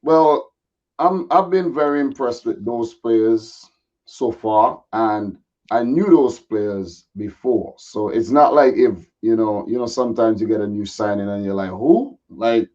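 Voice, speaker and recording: A man with a slightly raspy voice chatting casually and in a laid-back way as a guest football pundit. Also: mid-range voice, a little slow, a little mellow. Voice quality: a little raspy. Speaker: guest football pundit, Manchester City fan. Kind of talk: casual chat. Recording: dull, lo-fi audio.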